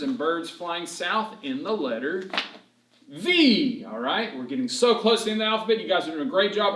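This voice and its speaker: An echoey, somewhat distant recording of a man reading to children. His voice is high and he speaks in an animated, encouraging way. He poses simple questions and answers them himself, like a teacher leading young listeners along. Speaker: children's ministry storyteller. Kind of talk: read-aloud story lesson for children. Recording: roomy echo, distant microphone.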